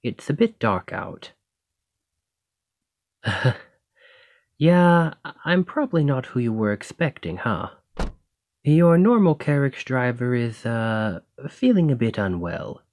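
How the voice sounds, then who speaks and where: A man's voice: medium pitch, big pitch swings, slow, pauses often, a little mellow, no echo. A man playing a chatty, cheeky stand-in carriage driver in an audio roleplay, talking casually and awkwardly to the listener with sly insinuation. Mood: awkward, friendly. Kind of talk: ASMR roleplay acting, addressing the listener.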